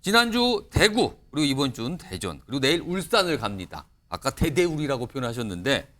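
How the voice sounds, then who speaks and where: A man's voice: medium pitch, very animated, brisk, a bit bright. A man hosting a political talk show, steering the panel with teasing, playful questions. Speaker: news talk show anchor.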